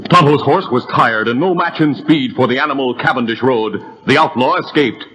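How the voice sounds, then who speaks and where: A man's voice: mid-range voice, normal speed, fairly bright tone, slightly rough, lo-fi sound. A man narrating a 1930s radio adventure drama with a vivid, building delivery.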